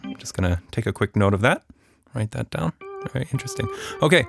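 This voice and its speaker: A man hosting a children's podcast and telling stories in a playful, mock-serious, unhurried way. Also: mid-range voice, sing-song, vocal fry, fairly bright tone, faint music behind. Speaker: podcast host and storyteller. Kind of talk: children's storytelling, casual and scripted.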